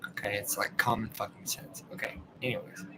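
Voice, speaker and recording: A man's voice: fairly low pitch, flat delivery, a little fast, in a whisper, rough recording. A man in his late twenties with a Texan accent, hosting a podcast and reacting to a clip in casual, rambling commentary, blunt and sprinkled with swearing, sounding tired late at night. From Texas, USA. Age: late 20s.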